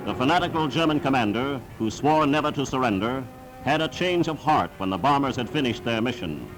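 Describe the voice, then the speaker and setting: A man's voice: mid-range voice, expressive, fairly quick, music in the background, rough recording. A man narrating a scripted wartime newsreel in an emphatic, declamatory announcer's manner, on a vintage 1940s soundtrack.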